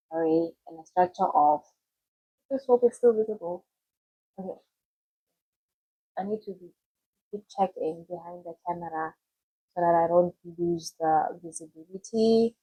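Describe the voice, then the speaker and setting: A young woman talks through a study plan in a loose, chatty way, often doubling back on her words. Her voice sits low for a woman, mellow and a little husky. She speaks straight to her own camera from home. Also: rough recording. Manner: chatty, loose and self-correcting, with frequent restarts. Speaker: student vlogger giving study tips. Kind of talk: casual explanatory tutorial.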